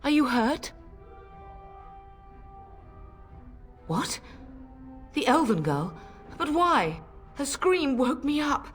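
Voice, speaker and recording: Noblewoman mother, middle-aged, fierce and grieving, highish voice, formal, music behind, fantasy game. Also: big pitch swings, slow, a bit bright, full character voice. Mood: worried, alarmed. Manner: commanding, earnest, formal. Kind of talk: dramatic fantasy video game acting.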